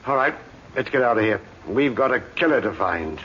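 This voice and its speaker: A man's voice: mid-range voice, lively intonation, normal speed, lightly acted. A man playing a determined Scotland Yard detective in an old radio drama, clipped and businesslike. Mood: brisk, grim. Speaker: police inspector. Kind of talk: old time radio acting. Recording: muffled old radio recording, faint noise.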